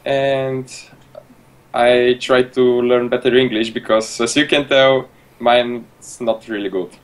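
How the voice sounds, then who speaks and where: A man's voice: mid-range voice, fairly even intonation, takes it slowly. A young man of eighteen from Bulgaria with a Bulgarian accent, giving casual interview answers as a guest over an internet call.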